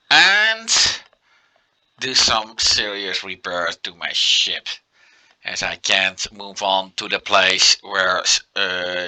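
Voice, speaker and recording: Dutch-accented male let's-player, slow and bright, relaxed with fillers. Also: deep voice, poor audio. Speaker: let's play commentator. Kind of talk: casual gameplay commentary.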